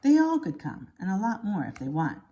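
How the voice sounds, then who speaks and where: A woman's voice: mid-range voice, wildly swooping pitch, fairly quick, warm tone. A woman reading a children's picture book aloud like a schoolteacher, expressively and with exaggerated emphasis.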